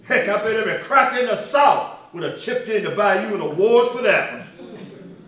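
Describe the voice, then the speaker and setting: Echoey, high-pitched fiery activist played by a man. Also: animated, moderate pace, a bit bright. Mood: mocking. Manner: emphatic, mocking, oratorical, builds by repetition. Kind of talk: theatrical stage acting, rhetorical speeches. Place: stage performance, recorded from far off. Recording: distant, very echoey stage recording.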